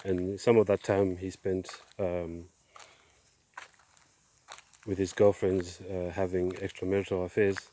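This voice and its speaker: A middle-aged man giving a personal testimony outdoors, speaking in a halting, measured way with pauses. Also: deep voice, level tone, mellow, slight background noise. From Zimbabwe, born in Zambia. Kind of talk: personal testimony, casual monologue. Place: outdoors, on a walk.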